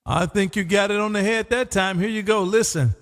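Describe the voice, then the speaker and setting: A man hosting a live ham radio stream, chatting casually and folksy, his voice going hoarse. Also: high-pitched, a little fast, big-room sound, very noisy. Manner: laid-back, folksy, says "man" often. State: losing his voice. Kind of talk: casual on-air radio chat.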